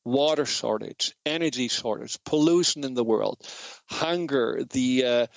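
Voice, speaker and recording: A man's voice: mid-range voice, unhurried, vocal fry, no echo, muffled recording. Earnest German-accented man, dry sound. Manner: earnest, searching for words, frequent restarts. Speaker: radio show host. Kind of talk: reflective monologue on a talk show.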